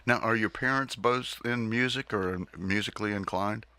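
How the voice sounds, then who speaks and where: A man's voice: on the low side, moderate pace, bright, forceful tone. A man hosting a live radio interview from a recording studio, chatting easily with his guest and asking questions into a studio microphone. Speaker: radio show host.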